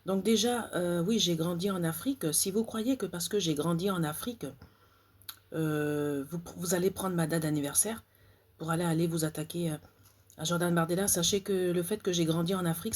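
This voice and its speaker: A woman from Côte d'Ivoire addresses her audience directly in a vlog. Her voice sits low and sounds husky. She talks in an indignant, halting way, stumbling over fillers and repeating herself. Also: a little mellow. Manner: indignant, hesitant, many fillers and repeated phrases. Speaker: author. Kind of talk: direct-to-camera vlog rant.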